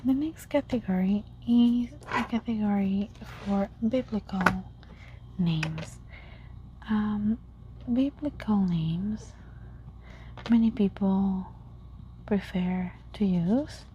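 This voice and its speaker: Softly whispering woman teacher. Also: mid-range voice, highs cut off, slight background noise. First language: Spanish. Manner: soft whisper, repeats each word slowly. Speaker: language teacher. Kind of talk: whispered ASMR language lesson.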